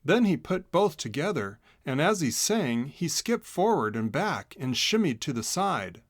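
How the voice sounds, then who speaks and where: A man's voice: a little high, very animated, measured pace. A man narrating a children's story in a scripted read-along style.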